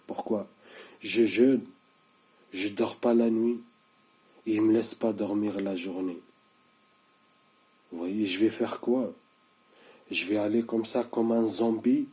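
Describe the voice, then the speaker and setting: A man's voice: fairly low pitch, dark tone. Weary, insistent man in his thirties. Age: 30s. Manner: repetitive, insistent, halting with many restarts. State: sleep-deprived. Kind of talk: casual video monologue.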